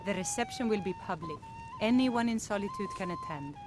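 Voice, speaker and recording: A woman's voice: medium pitch, lively intonation, brisk, over music, lightly acted. An actress voicing a townswoman passing on news in a fantasy video game. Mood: matter-of-fact.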